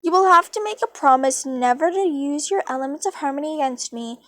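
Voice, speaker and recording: Woman voicing a smug, high-pitched male chaos villain. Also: brisk, a bit bright, dry recording, studio quality. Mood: bargaining, grandiose. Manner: mischievous, mocking, wheedling.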